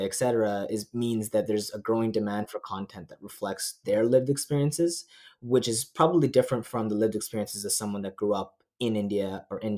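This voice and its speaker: Low-voiced young man. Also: a little mellow. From Canada, born in Nepal. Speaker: podcast co-host. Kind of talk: casual discussion.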